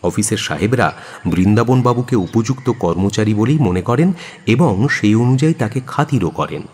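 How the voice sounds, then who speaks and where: A man narrating a suspense story in a dramatic scripted style, with a husky voice. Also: on the low side, expressive, normal speed, darkish tone, in a large hall.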